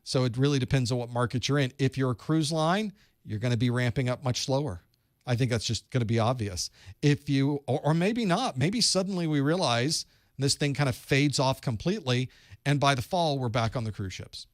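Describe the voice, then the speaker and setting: A man's voice: mid-range voice, fairly quick, dry recording, studio quality. A man hosting a live call-in study group, giving advice in a conversational way and thinking aloud. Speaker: host of a certification study group.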